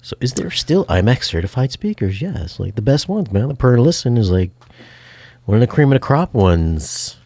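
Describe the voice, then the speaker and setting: A man's voice: medium pitch, big pitch swings, normal speed, warm tone. A man hosting a casual chat about audio gear, talking informally and thinking aloud. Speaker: host and speaker reviewer.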